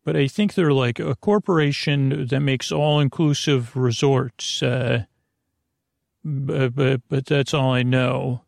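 Creaky-voiced, lulling American man. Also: mid-range voice, fairly even intonation, slow, dry recording, studio quality. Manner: hesitant, meandering, repeats himself; lulling, soothing. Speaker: podcast host. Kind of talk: rambling bedtime podcast monologue.

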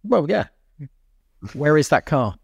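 A man's voice: a little high, very animated, slow pace, vocal fry, breathy edge, no echo. A man co-hosting a podcast, talking sport casually and emphatically, repeating phrases and posing rhetorical questions. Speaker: podcast co-host.

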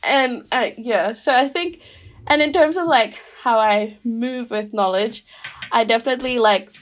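A young woman from Rotuma, a guest speaking in casual, reflective conversation, full of "like" and "you know" and quick to laugh. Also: a little high. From Rotuma, Fiji. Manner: chatty, many fillers, laughs easily.

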